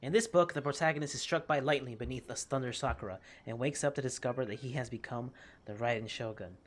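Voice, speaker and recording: A game streamer doing casual, expressive commentary and reading on-screen text aloud in an informal way. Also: medium pitch, quick pace. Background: game music.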